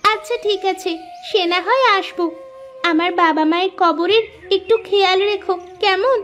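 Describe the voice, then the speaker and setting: A woman's voice: extremely high pitch, normal speed, fairly bright tone. A woman voicing a poor, earnest orphaned village girl in a moral-story cartoon. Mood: friendly. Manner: exclaiming, talks to herself aloud. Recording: music behind the voice.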